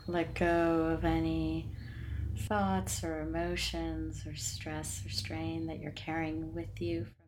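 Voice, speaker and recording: Low, slow woman guiding meditation. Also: background noise. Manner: calm, soothing, unhurried with long pauses. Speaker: meditation podcast host and guide. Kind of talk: guided meditation, gentle instruction.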